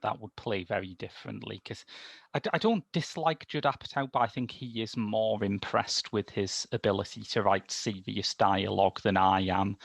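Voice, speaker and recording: A man's voice: fairly low pitch, vocal fry, fairly bright tone, no room sound. A man in his thirties talking as a film podcast host, articulate and wry, in conversational review style on a video call. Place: small domestic room, indoors.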